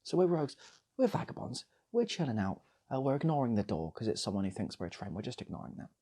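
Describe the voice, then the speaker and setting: A man's voice: mid-range voice, very animated, fast talker, warm tone. A man speaking casually as a channel host, in a playful, rambling way with repeated phrases, like improvised comic storytelling.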